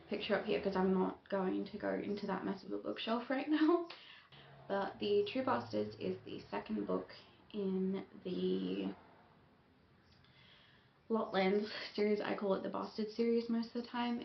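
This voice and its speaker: A young woman chatting casually on her book vlog, with plenty of ums and soft laughs. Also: mid-range voice, creaky. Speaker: book YouTuber. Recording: echoey room, dull lo-fi sound, faint hiss.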